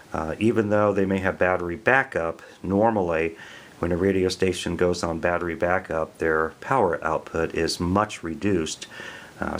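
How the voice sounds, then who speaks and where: An American man giving a casual product review, explaining things in a plain, unhurried way. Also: deep voice, a little hiss or hum. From American Midwest.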